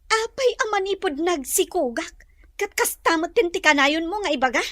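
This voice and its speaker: A wronged young wife in a radio drama. Her voice is extremely high and dry, pleading and full of reproach. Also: animated, moderate pace, a bit bright, no room sound. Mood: reproachful, hurt. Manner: plaintive, reproachful.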